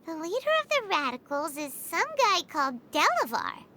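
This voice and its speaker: A woman voicing a tiny childlike fairy companion in a video game, squeaky, chirpy and eager, with music behind. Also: sing-song, normal speed, bright, forceful tone, a little hiss or hum, exaggerated voice. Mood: pondering. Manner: refers to self in the third person, exclamatory. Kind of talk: game character voice acting.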